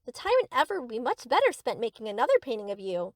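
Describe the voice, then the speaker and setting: A high-voiced, swooping young yandere acted out by a woman speaks straight to the listener in an audio roleplay. She is doting and boastful, cheerfully obsessed and possessive, with a close, dry sound. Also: sing-song, fast, no room sound. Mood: adoring. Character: gushing, possessive yandere best friend, young woman painter. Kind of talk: scripted ASMR roleplay, addressing the listener.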